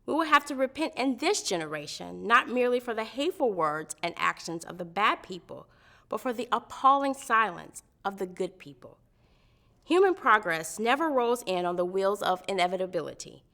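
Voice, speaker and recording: A woman's voice: medium pitch, very animated, moderate pace, bright, forceful tone. A woman reading a historic letter aloud with earnest, emphatic, oratorical phrasing. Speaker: reader at a commemorative public reading.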